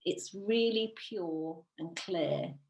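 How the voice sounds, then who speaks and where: A woman leading a guided visualisation as a meditation teacher, soothing and unhurried, with long pauses between phrases. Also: lowish voice, a little mellow. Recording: roomy, echoing sound.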